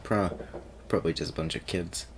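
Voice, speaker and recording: A man playing an easygoing, teasing young guy sweet on his friend, talking casually and haltingly in an audio roleplay. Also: deep voice, steady tone, noisy background, lightly acted. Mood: relaxed, friendly. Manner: halting and stammering, chuckling, casual slangy speech.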